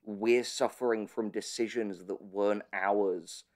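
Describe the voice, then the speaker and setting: A man's voice: lowish voice, fairly even intonation, unhurried. A man in a conversational analytical discussion, speaking thoughtfully with hesitant, stammering starts as a podcast host.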